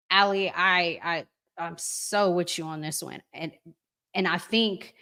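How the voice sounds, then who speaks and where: Slow-paced woman hosting a reflective chat. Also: fairly low pitch. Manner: conversational, reflective, asks listeners rhetorical questions. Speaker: host reading and answering viewer comments. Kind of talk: casual live commentary chat with listeners.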